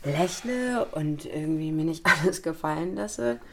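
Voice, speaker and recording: A woman's voice: sits low, animated, unhurried, gravelly, heavy background noise, exaggerated voice. Volatile young girlfriend, clattery background. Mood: wounded, self-justifying. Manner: colloquial, confrontational, accusing. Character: volatile, controlling young girlfriend who blames and guilts her partner. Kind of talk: naturalistic German audio drama acting.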